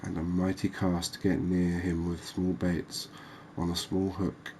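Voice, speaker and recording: Soft spoken guy doing asmr gaming commentary, really slow, flat tone, pauses to think. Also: low-pitched, a little hiss or hum. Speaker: gaming video host.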